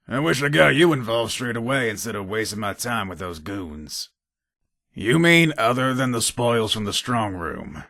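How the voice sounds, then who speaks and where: An actor voicing a shrewd, wry heist planner and gun dealer in a video game, gravelly and conspiratorial, with a dry, matter-of-fact manner. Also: male voice, mid-range voice, a bit bright, dry recording, full character voice. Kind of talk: video game character dialogue.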